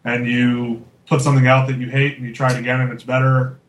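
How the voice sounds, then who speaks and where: An earnest man of about thirty speaks candidly as a guest, leaning on "you know" between thoughts. His voice has a middling pitch and carries the echo of a roomy space. A faint hiss sits underneath. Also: flat delivery, fast talker. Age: 30s. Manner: candid, earnest, uses fillers. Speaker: studio artist guest. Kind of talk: casual panel chat. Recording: roomy with faint background noise.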